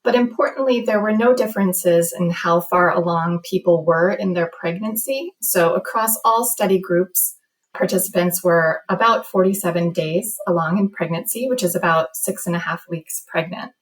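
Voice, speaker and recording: Lower-pitched woman explaining research findings. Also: moderate pace, rough recording. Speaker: medical researcher, study author.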